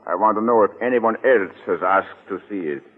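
An actor playing a smooth-mannered, menacing Nazi agent posing as an investigator in an old-time radio thriller. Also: male voice, lowish voice, a little fast. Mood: officious. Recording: tinny, band-limited old radio recording.

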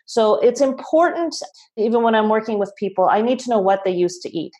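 A woman from Toronto, a health practitioner, gives measured explanations and is careful to call her ideas observations. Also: on the high side, animated, mellow. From Toronto, Canada. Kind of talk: explanatory interview answers.

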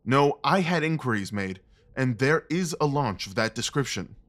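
A man acting a restless, commanding gentleman detective in an audio drama, speaking formally and with intense emphasis. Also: mid-range voice, expressive, moderate pace, close to an everyday voice. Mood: frustrated, restless.

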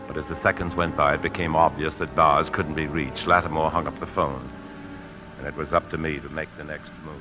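An actor playing a tense, desperate embezzling husband in a 1950s radio drama, speaking with urgent, confessional intensity. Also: male voice, a bass voice, steady tone, a little fast. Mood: haunted, narrating. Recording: old radio broadcast, faint music.

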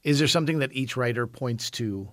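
A man's voice: medium pitch, fairly quick, fairly bright tone, no room sound. A man from Canada hosts a radio books show, asking curious, probing questions with restarts and reading the outro.

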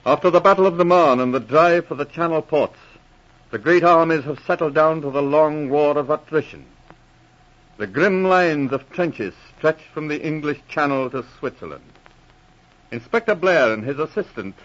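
A man's voice: fairly high pitch, lively intonation, normal speed, a bit bright. A man narrating a 1940s radio adventure serial in a dramatic, declamatory manner. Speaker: announcer. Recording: muffled old radio recording.

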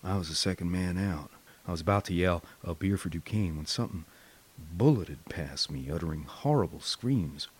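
An American man voicing a shaken paratrooper in an audiobook, quietly and haltingly recounting what he witnessed. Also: low-pitched, husky. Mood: shocked, grim.

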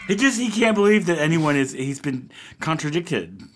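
A man's voice: fairly high pitch, animated, moderate pace. A man co-hosting a podcast, making wry, teasing remarks in casual chat. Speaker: podcast co-host.

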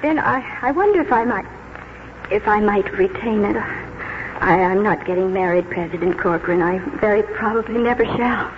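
An actress playing an earnest, idealistic young schoolteacher in 1940s radio drama, with a heartfelt, theatrical delivery. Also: female voice, mid-range voice, normal speed, noisy background, lightly acted. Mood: subdued, hesitant. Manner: heartfelt, theatrical, hesitant when moved. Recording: dull vintage radio broadcast, loud music.

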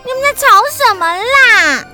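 Very high-pitched, earnest schoolchild. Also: female voice, big pitch swings, moderate pace, sharp tone, faint music behind, exaggerated voice. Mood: annoyed. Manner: stammering, pleading, breathless urgency. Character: frightened, earnest primary school child. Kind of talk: children's radio drama acting.

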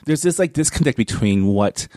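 A man's voice: medium pitch, expressive, normal speed, warm tone, no echo, clean studio sound. A man with a North American accent talking casually and reflectively in a discussion, often checking in with a questioning tag. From born in Canada, grew up in America. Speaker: book discussion panelist.

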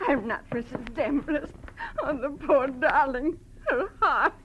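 A woman's voice: sits high, a little slow, fairly bright tone. A woman playing a grieving, devoted spinster friend in a 1940s radio drama, sobbing as she speaks. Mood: distraught, tearful. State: sobbing, tearful. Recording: muffled, hissy old radio recording.

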